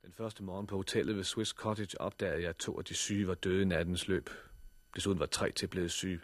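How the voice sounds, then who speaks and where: A man's voice: low voice, steady tone, no echo. An actor playing a sighted man who leads and narrates for a group of blind survivors in a 1960s radio drama. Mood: sober narration.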